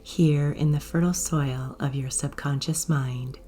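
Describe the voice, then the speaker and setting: A woman guiding a meditation in a soft, gentle, unhurried way, with breathy phrase endings. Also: low-pitched, fairly even intonation. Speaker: yoga nidra guide. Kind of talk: guided meditation narration. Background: soft music.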